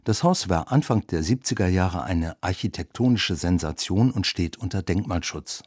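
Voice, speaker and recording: A deep-voiced man reads a scripted feature as a reporter. His tone has a husky grain, and the sound is dry and close. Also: lively intonation, normal speed, darkish tone, no echo. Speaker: reporter narrating a radio feature. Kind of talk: scripted narration.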